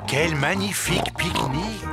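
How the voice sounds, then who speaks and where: A woman's voice: fairly low pitch, moderate pace, lightly acted. A woman narrating a children's cartoon in a French dub, in a scripted storybook style. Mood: gentle storytelling. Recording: music behind.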